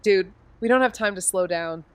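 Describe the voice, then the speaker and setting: Actress as an anxious, wisecracking young female investigator; smooth, clear tone. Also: medium pitch, moderate pace, no echo, natural voice. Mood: restless, wry. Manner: wry, restless, blunt. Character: young woman sleuth, anxious, wry, impatient. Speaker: investigator and narrator. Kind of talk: audio drama acting.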